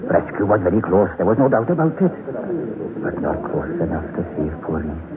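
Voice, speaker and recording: A man's voice: sits low, sing-song, a little fast, over a noisy crowd. An actor playing a haunted, unhinged mine survivor telling his tale broodingly in an old radio drama. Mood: haunted, grim. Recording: noisy lo-fi old radio broadcast.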